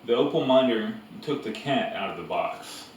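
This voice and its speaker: A man reading a story aloud as narrator, with deliberate phrasing and pauses. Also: medium pitch, lively intonation, a little mellow. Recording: echoey room, distant mic, background noise.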